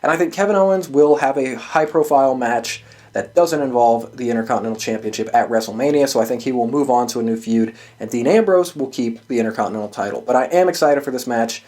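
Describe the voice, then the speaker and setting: A man's voice: mid-range voice, quick pace, darkish tone. A man hosting a show, giving casual, opinionated sports commentary in an emphatic, sweary way. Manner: profane and emphatic. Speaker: host of a wrestling prediction show.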